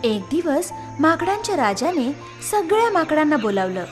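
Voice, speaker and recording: A woman's voice: high voice, big pitch swings, normal speed, over music. A woman narrating a scripted moral story for children.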